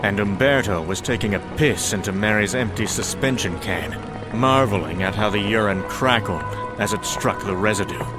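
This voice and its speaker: A man narrating a comic story in a dramatic scripted style, with a wry, deliberate storytelling manner. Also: fairly low pitch. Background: music.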